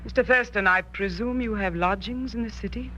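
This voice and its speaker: An actress playing a young, guarded royal ruler with a formal, measured manner in a 1940s radio drama. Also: female voice, mid-range voice, fairly bright tone, close to an everyday voice. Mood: imperious. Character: imperious, guarded young Rani of a mysterious kingdom. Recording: dull, noisy old radio recording.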